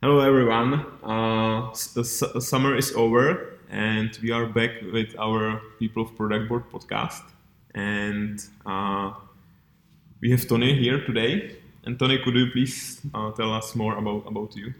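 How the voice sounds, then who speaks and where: Halting male podcast host, flat low delivery. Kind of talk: casual podcast interviewing. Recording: roomy, echoey sound.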